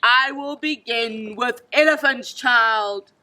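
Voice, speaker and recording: A woman voicing a sly, menacing male crocodile with a low, drawn-out storybook voice. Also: pushed and bright, exaggerated voice.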